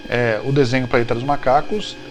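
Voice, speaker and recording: A man's voice: mid-range voice, steady tone, brisk, faint music behind, poor audio. A Brazilian man hosting a show, talking casually and jokily about trivia and often correcting himself. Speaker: show host. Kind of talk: casual, chatty TV-trivia commentary.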